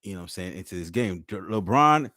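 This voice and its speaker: A man's voice: low-pitched, lively intonation, fast, bright, forceful tone, no echo, clean studio sound. A man co-hosting a podcast in casual chat, laid-back and profane, laughing often. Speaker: podcast co-host.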